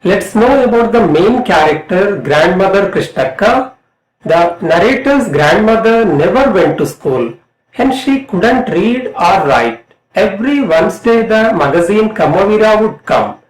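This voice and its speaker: A middle-aged man with an Indian accent reading a scripted lesson as an English lecturer, in a deliberate, emphatic way. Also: sits high, lively intonation. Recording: roomy, echoing voice.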